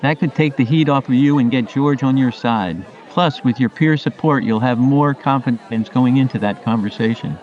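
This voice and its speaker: A man's voice: medium pitch, normal speed, hardly any pauses, darkish tone, dull audio, some chatter behind, close to an everyday voice. A man playing a supportive, pragmatic friend in a radio play, questioning and advising conversationally. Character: Jerry, supportive, pragmatic male friend giving advice.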